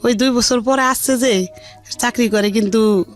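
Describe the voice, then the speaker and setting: A woman's voice: on the high side, measured pace. Middle-aged Bangladeshi woman, colloquial Dhaka accent, music behind. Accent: colloquial Dhaka Bengali. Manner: earthy, unpolished, animated retelling with reported dialogue. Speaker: radio show guest telling her life story. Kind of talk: candid personal storytelling in conversation. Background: background music.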